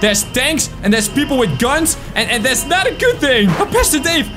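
Very high-pitched, exaggerated young male gamer. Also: very animated, normal speed, music in the background. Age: 20s. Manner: exaggerated, exclamatory, playful. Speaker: gaming video host. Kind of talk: excited gameplay commentary.

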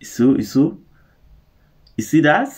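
A young Nigerian man giving animated, opinionated commentary to camera in a room, with a Nigerian accent and pidgin-tinged English. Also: on the high side, slow pace. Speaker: news commentary vlogger. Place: indoor room with curtains.

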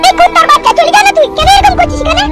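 A woman voicing a hurt, quick-to-scold young village daughter in a comedy cartoon, with a squeaky high voice. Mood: furious. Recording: loud music under the voice.

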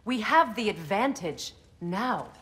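A woman's voice: medium pitch, big pitch swings, takes it slowly, a bit bright, slight background noise. A woman playing a resolute, wary warrior goddess in a fantasy video game drama. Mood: resolute warning.

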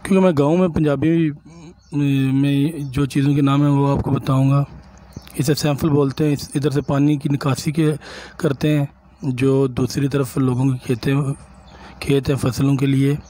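A man, a village vlogger from Punjab, calmly explaining sights to viewers while he walks outdoors and films on his phone. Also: mid-range voice, normal speed. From Punjab, Pakistan. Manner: calm, explanatory, addresses viewers directly. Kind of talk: walking tour narration.

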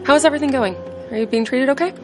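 An actress playing a friendly, chatty fellow teacher welcoming a newcomer, in a classroom. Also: female voice, high-pitched, rapid-fire, over music, close to an everyday voice. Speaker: science teacher. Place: classroom indoors. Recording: film score underneath.

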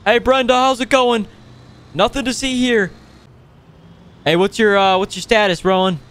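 A man hosting a gaming video with casual, playful roleplay commentary, excitable and given to exclaiming. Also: high-pitched, moderate pace. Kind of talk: casual improvised roleplay commentary over gameplay.

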